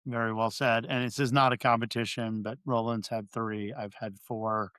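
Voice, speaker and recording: A man's voice: medium pitch, flat delivery, normal speed, a bit bright, clean tone, dry recording. A man hosting a podcast, chatting in a conversational, joking way. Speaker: podcast host. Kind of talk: podcast interview.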